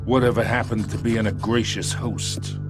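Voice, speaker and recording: An actor playing a smug, menacing mob boss in a video game, slangy and folksy, mock-polite one moment and bullying the next. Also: male voice, on the low side, steady tone, moderate pace, natural voice. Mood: persuasive, boastful. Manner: slangy, swaggering, mock-polite then threatening. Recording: music behind the voice.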